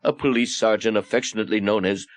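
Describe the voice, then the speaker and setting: A man's voice: lowish voice, steady tone, fast talker, no room sound. A man with an American accent narrating a satirical storybook in a mock-earnest, theatrical way. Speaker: audiobook narrator.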